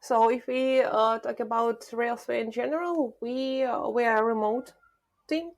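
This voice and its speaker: A woman from Ukraine, a product manager answering questions thoughtfully as a podcast guest, pausing often with filler sounds. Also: a little high, steady tone. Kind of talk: podcast interview.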